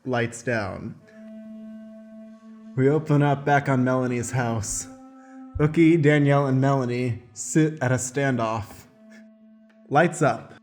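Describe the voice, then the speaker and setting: A man acts as stage manager, setting scenes for an improvised radio play. He narrates slowly, with music clearly audible behind him. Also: medium pitch, a little mellow.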